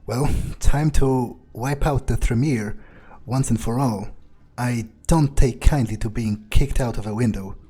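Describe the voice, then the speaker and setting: A man roleplaying a vengeful, sardonic vampire in a video game, speaking in a measured, dryly menacing way with a husky voice. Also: mid-range voice, soft background music. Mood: dry, resolved. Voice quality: husky, dark. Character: vengeful, sardonic male vampire, battle-hungry player character. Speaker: gameplay video creator.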